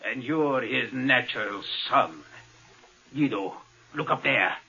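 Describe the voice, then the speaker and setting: A man's voice: medium pitch, lively intonation, takes it slowly, creaky, bright, forceful tone, dull audio, exaggerated voice. An actor in a 1950s radio drama playing a resentful, power-hungry man who menaces and taunts his adoptive brother. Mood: gloating, menacing. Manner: gloating, taunting, theatrical.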